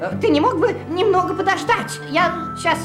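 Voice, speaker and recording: Squeaky, hyperactive cartoon boy bunny. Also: moderate pace, over music. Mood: flustered. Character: hyperactive, stubborn young boy rabbit in a cartoon. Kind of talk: animated cartoon acting.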